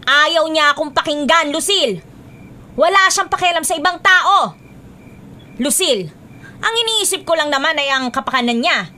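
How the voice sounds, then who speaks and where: A woman's voice: extremely high pitch, bright, forceful tone. A woman playing a stern, exasperated middle-aged aunt in a Filipino radio drama, scolding with melodramatic emphasis.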